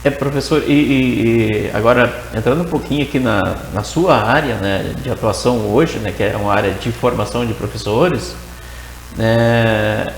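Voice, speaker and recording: A man's voice: mid-range voice, normal speed, reverberant, big-room sound, a little hiss or hum, poor audio. A man hosting a TV interview, asking questions and answering briefly in agreement. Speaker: TV host and interviewer.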